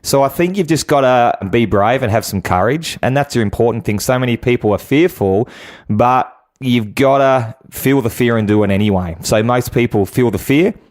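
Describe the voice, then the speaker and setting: A man with an average-pitched voice speaks as a guest business coach. His interview talk is motivational and earnest, urging people to act. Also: normal speed, a little mellow. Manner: earnest, exhorting.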